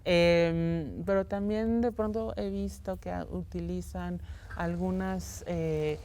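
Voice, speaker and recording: A woman with a notably low, warm voice, speaking as an academic guest in a studio interview. She reasons carefully and leans on fillers and tag questions. A faint hiss runs underneath. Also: fairly even intonation, slow. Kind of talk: conversational interview. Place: television studio.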